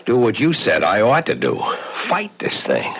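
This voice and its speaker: Radio play: earnest innkeeper, low lively voice, dull old broadcast. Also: male voice, normal speed, creaky, very noisy, exaggerated voice. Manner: earnest, steady, worried. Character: worried, broke male innkeeper, determined. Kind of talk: 1970s radio drama acting.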